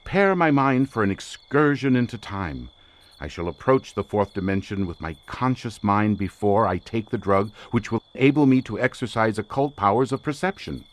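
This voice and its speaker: A man's voice: on the low side, expressive, normal speed, natural voice. Guy doing an obsessed occult scientist for a radio play, grand and theatrical, voice swings all over. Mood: intense, instructing. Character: obsessed, intense male occult researcher, imperious and fervent. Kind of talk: dramatic audio drama acting.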